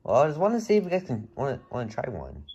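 A man's voice: mid-range voice, huge pitch swings, quick pace, dark tone, highs cut off, a little hiss or hum. A man voicing an easygoing, friendly toy race car in amateur toy play. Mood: casual, friendly.

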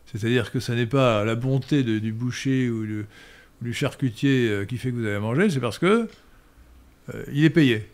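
An older man answering listener questions in a professorial, hesitant manner with frequent pauses and restarts, recorded on a stand mic in a study. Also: medium pitch. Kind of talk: lecturing Q&A answers. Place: study room indoors. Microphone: studio mic on stand.

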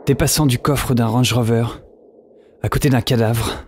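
A man's voice: medium pitch, fairly even intonation, normal speed, darkish tone, a little hiss or hum, close to an everyday voice. A man acting a lonely, shaken young survivor in an audio drama, speaking informally and hesitantly. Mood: recounting. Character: lonely, shaken male survivor of a zombie apocalypse. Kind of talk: audio drama acting, first-person narration.

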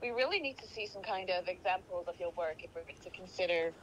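A woman's voice: lowish voice, fairly quick. A woman speaking politely and a little hesitantly in a casual phone conversation as a receptionist. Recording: phone call with faint background noise.

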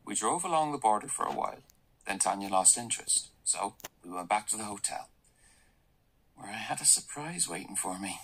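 An actor playing a hesitant, apologetic, conflict-shy young junior employee with an Irish accent in a radio drama. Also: male voice, fairly low pitch, lively intonation, fairly quick, a bit bright, slight background noise, low-quality recording. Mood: matter-of-fact. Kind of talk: naturalistic radio drama acting.

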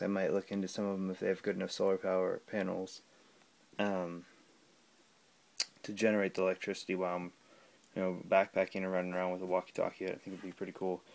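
A man giving a casual, unscripted gadget demonstration at home, talking in a halting, rambling way. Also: sits low, a little mellow. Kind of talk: casual unscripted product demo. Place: small room at home. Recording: clear background noise with clicks.

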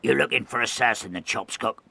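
A man doing a croaking, grog-ravaged sailor. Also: medium pitch, fairly quick, sharp tone. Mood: surly.

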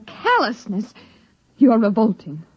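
An officious lady inspector from a 1950s radio play, impatient and commanding. Her voice is fairly high and swings expressively, with a slightly rough edge. The recording is dry and dulled with age. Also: huge pitch swings, takes it slowly, a little mellow, no echo, highs cut off, heavily acted. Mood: indignant, stern. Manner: curt, officious, clipped commands. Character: brusque, imperious female company inspector, impatient and stern. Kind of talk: 1950s radio drama acting.

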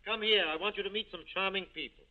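A man's voice: high voice, fast, natural voice. A man playing a genial, urbane, sociable character in a 1940s radio drama. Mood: genial, amused. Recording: tinny old 1940s radio recording.

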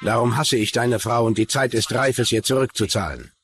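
A man's voice: mid-range voice, fairly quick, fairly bright tone, natural voice. An actor voicing a vengeful, hateful male stalker in a dramatised threat letter. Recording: music behind the voice.

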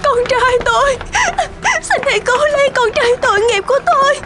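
A woman's voice: very high voice, fairly quick. A woman voicing a distraught, pleading mother in a cartoon. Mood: desperate weeping. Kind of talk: cartoon dubbing.

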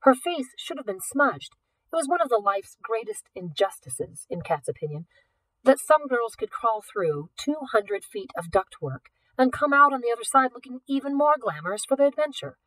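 A woman's voice: on the high side, very animated, normal speed, a bit bright, no room sound. Woman reading a novel, slightly distant. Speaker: audiobook reader. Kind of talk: expressive scripted storybook narration.

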